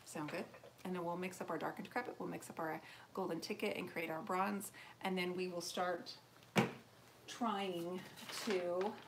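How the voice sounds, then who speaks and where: A woman's voice: on the low side, noisy background. A woman in her forties hosting a casual live painting stream from her home workshop, chatting and answering viewer comments as she works. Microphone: camera mic, moves toward and away from it.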